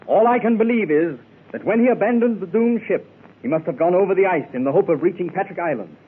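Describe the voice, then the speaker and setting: Grave old-school explorer telling his tale, husky, tinny old radio. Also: high voice, expressive. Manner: grave, measured storytelling. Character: seasoned male explorer recounting his expedition. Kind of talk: 1930s radio acting. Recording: tinny 1930s radio recording.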